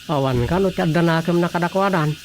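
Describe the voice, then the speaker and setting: A man's voice: a little high, quick pace, slight background noise, lightly acted. A man with a Filipino accent playing a disapproving, grumbling village councillor in a radio comedy drama.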